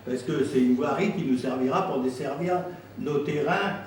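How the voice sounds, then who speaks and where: A man speaking as a council president, explaining himself in an insistent, deliberate way at a public meeting. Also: medium pitch. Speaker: president of an intercommunal council answering questions. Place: indoor council meeting room. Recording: very echoey room, distant mic, faint noise.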